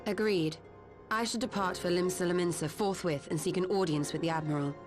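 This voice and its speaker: A woman's voice: lowish voice, fairly quick, music in the background, natural voice. A woman playing a composed, decisive, learned mage in a video game, formal and authoritative. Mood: commanding. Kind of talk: video game cutscene acting.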